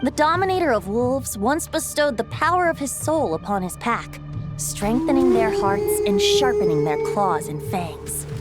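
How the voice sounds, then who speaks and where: An actress playing a poised, playful bard-like storyteller in a video game, lyrical and eloquent. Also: female voice, high voice, very animated, normal speed, over loud music. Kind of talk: scripted game dialogue.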